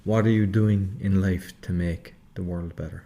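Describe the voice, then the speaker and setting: A man's voice: deep voice, fairly even intonation, normal speed, warm tone. A man hosting a podcast, asking casual interview questions in an encouraging, conversational way. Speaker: podcast host.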